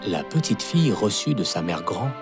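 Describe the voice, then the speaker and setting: A man narrating a scripted fairy tale for children in an expressive storytelling manner. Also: on the low side, moderate pace. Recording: music playing behind. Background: background music.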